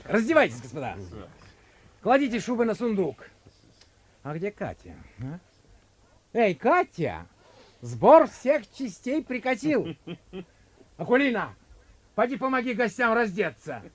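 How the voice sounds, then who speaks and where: A man's voice: high-pitched, wildly swooping pitch, measured pace, pauses often, full character voice. An actor playing a fawning, timid minor clerk in a 1950s Russian radio play, fussy and coaxing with his companions. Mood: eager, fussy. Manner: fussy, coaxing, hushed when cowed. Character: fawning, timid male minor clerk hosting hungry colleagues.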